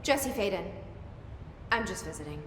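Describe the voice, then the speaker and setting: An actress playing a guarded young agency director in a video game, speaking in a terse, reserved, plain way. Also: female voice, medium pitch, animated, normal speed, natural voice. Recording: echoey hall with faint noise.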